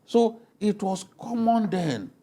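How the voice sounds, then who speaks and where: A middle-aged man with a Nigerian accent in an unscripted panel discussion, speaking haltingly and repeating words. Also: sits high, sing-song, warm tone.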